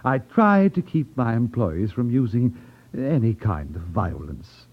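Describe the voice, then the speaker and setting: Suave elderly racket boss, tinny recording. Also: male voice, mid-range voice, sing-song, measured pace, breathy edge. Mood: veiled threat. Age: older. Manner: over-polite, fussy, hesitant ums, condescending. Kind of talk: 1950s radio drama acting. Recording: tinny, narrow-band vintage radio recording.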